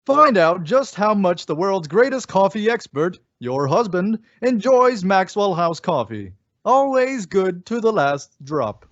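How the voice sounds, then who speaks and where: A wry, theatrical radio announcer, played by a man, with a fairly high voice, narrates in old-time style. The sound is dry and a little dull. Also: very animated, a little slow, no echo. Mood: promotional. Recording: dull lo-fi recording.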